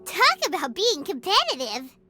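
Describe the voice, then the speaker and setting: Squeaky, excitable little fairy companion. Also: female voice, normal speed, full character voice. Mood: cheeky, grossed out. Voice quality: squeaky, childlike. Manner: excitable, blunt, third-person chatter. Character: tiny childlike female fairy companion, cheeky, greedy for food. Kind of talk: animated video game voice acting. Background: background music.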